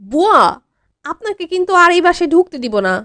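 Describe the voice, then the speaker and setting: A woman's voice: very high voice, big pitch swings, a little fast. A woman voicing a snappy, stressed teenage girl in a cartoon comedy, with a Bangladeshi accent and whiny, exasperated delivery. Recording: music bed behind voice.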